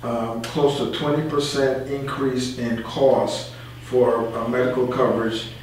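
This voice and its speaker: A man's voice: mid-range voice, flat delivery, a little slow, a little mellow. A man talking casually in a discussion. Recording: very echoey room, distant, faint noise.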